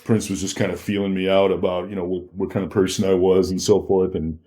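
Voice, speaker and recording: A man's voice: low-pitched, level tone, a little fast, warm tone. A middle-aged man with an American accent telling anecdotes casually as a podcast guest, acting out bits of dialogue.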